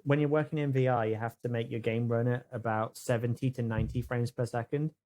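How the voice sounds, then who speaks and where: A man chatting casually on a podcast, halting and repeating words as he explains things. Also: mid-range voice, steady tone, darkish tone, a little hiss or hum. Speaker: podcast co-host. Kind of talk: casual chat.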